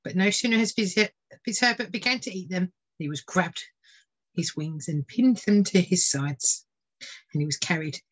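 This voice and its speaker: A woman's voice: on the low side, normal speed. A woman tutor narrating a children's storybook expressively. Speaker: tutor reading a children's book.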